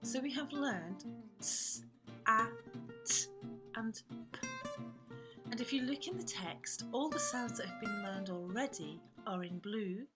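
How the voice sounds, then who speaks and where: A woman's voice: medium pitch, moderate pace, few pauses. A woman giving careful instructional narration as a teacher, explaining step by step. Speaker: teacher demonstrating a phonics reading method. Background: plucked guitar music.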